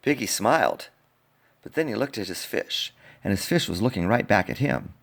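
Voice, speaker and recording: A man reading a picture book aloud in an expressive, playful way, talking directly to young listeners. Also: on the low side, normal speed, gravelly. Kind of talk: animated children's storybook read-aloud.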